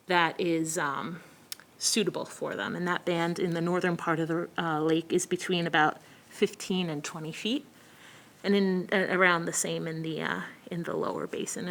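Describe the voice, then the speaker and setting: A woman's voice: on the low side, fairly bright tone, slight background noise. A woman presenting a city lake monitoring update at a public meeting, explaining steadily with frequent ums. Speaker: city environmental analyst giving an update.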